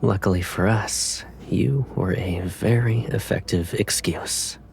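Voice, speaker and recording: An actor playing a tired, tender young man in a video game, soft and breathy, guarded but gently teasing. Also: low-pitched, slow pace, faint music behind, exaggerated voice. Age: young adult. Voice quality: soft, breathy, partly whispered. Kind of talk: intimate scripted game dialogue.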